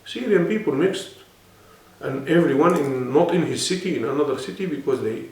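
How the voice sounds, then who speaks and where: A man's voice: a little high, expressive, moderate pace. A man with an Arabic accent, speaking non-native English and explaining earnestly as a guest in a serious interview. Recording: echoey room, mic at a distance.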